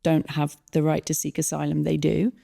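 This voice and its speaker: A middle-aged Irish woman speaking as a guest in an interview, in a measured way with frequent pauses and ums. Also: low-pitched, monotone, gravelly, mellow. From Ireland. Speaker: local election candidate, guest. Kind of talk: earnest interview conversation.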